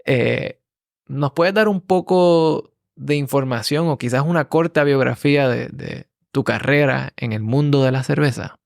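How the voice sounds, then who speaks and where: A man's voice: mid-range voice, measured pace, gravelly, no echo, clean studio sound. A man from Rico, hosting a podcast and introducing an interview. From Puerto Rico. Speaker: podcast host.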